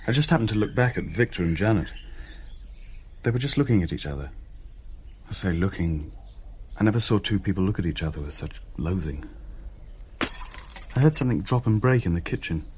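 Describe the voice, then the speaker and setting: A man's voice: deep voice, lively intonation, fairly quick, long pauses, a little mellow, a little hiss or hum. An actor playing a young man who tells of things in a hesitant, descriptive way in a naturalistic radio play. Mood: reflective. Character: uneasy younger man recounting a ghost sighting.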